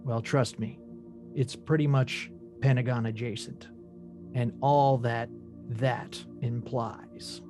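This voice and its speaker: A man's voice: mid-range voice, fairly even intonation, takes it slowly, over music, lightly acted. A man playing a cocky, self-important government agent in a screenplay table read. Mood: smug, evasive.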